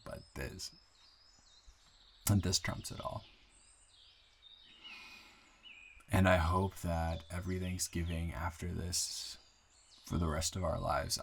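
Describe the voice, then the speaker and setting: A man playing a nervous, smitten new guy in town in an improvised romantic audio roleplay, halting and playfully teasing. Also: low voice, vocal fry, slight background noise. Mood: tender, content.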